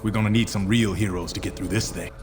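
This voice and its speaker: An actor playing a gruff, plain-spoken, streetwise superhero rebel in a video game. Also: male voice, on the low side, steady tone, fast, music in the background, close to an everyday voice. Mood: determined. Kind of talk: video game cutscene acting.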